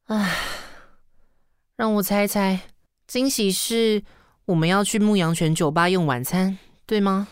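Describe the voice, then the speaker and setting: An actress playing a sarcastic, long-suffering assistant in a radio drama, with a Taiwanese Mandarin accent and a teasing, weary manner. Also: female voice, mid-range voice, a little slow, clear, resonant tone, no room sound, studio quality, lightly acted. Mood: sardonic. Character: sardonic, long-suffering female assistant to a fashion director. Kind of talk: radio drama acting with inner monologue.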